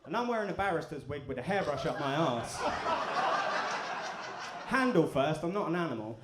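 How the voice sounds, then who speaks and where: Stand-up comic in an echoey club. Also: male voice, on the high side, moderate pace, heavy background noise. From England. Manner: deadpan, crude punchlines with crowd banter. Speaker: stand-up comedian. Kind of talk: stand-up comedy set. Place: comedy club in front of a live audience. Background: audience laughter.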